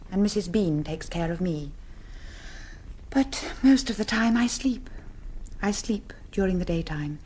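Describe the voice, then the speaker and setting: Melancholy vampire noblewoman, breathy mid-pitched voice, mannered, languid, radio drama. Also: a little mellow. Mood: gracious, then haunted, melancholy. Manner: languid, mannered, lapsing into breathy near-whispers. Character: melancholy, aristocratic young-seeming female vampire countess. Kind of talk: BBC radio drama acting.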